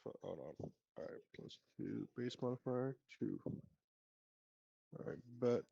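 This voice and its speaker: A man's voice: mid-range voice, lively intonation, unhurried, vocal fry, warm tone, no room sound, muffled recording. A man chatting casually as a player in a tabletop roleplaying game.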